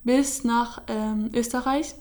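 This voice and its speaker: A woman's voice: fairly high pitch, fairly even intonation, slow pace, darkish tone. A young woman from Syria, telling her story in German with many fillers and restarts. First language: Kurdish. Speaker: interviewee. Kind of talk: casual personal account.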